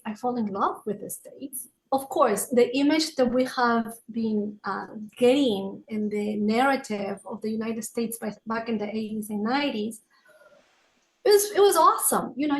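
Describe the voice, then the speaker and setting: A woman's voice: on the high side, low-quality recording. A middle-aged woman from Peru with a Peruvian Spanish accent, answering reflectively as an interview guest and searching for her words. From Peru, raised in Lima. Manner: searching for words, halting phrasing. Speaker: podcast guest, author and wellness consultant.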